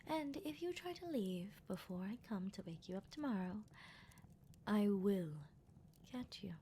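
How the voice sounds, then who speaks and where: Woman playing a slow, whispery, menacing female vampire. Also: medium pitch, expressive, warm tone, a little hiss or hum, pitched below the performer's own voice, natural voice. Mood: soft threat. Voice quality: breathy, often dropping to a whisper. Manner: slow, deliberate, teasing and commanding. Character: predatory female vampire, possessive, coolly menacing. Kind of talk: ASMR roleplay, intimate monologue.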